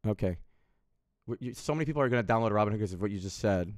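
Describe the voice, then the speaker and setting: A man's voice: fairly low pitch, animated, rapid-fire, no room sound, studio quality. A man of thirty streaming from home, rambling in casual chat and swearing freely, with lots of restarts. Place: home room with window, indoors.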